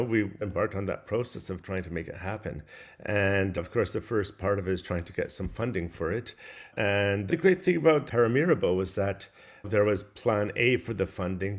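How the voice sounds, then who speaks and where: Low-voiced man telling a story in conversation. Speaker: composer interviewed as guest.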